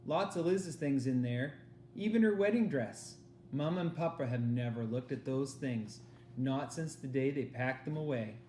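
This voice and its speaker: A man's voice: mid-range voice, normal speed, dark tone, a little hiss or hum, natural voice. Guy reading as earnest kid girl, reassuring best friend in a storybook, echoey room. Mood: confiding.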